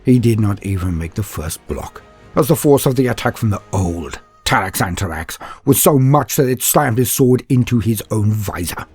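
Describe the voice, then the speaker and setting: A man with a gravelly, husky voice telling dark science fiction tales in a grave, theatrical storytelling style. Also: lowish voice, expressive, moderate pace. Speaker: narrator. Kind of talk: dramatic scripted dark sci-fi storytelling. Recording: music bed under narration.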